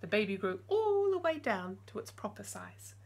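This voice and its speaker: A woman's voice: mid-range voice, sing-song, normal speed, a little mellow, breathy edge. A woman in her forties reading a picture book aloud expressively, with chatty asides to young listeners. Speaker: storytime reader. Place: small room at home with bookshelves.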